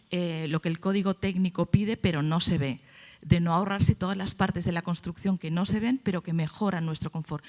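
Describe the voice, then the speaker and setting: A woman's voice: on the low side, darkish tone. A woman with a Spain Spanish accent giving emphatic, argumentative opinions in a panel discussion, often posing questions and answering them. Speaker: panelist at a congress.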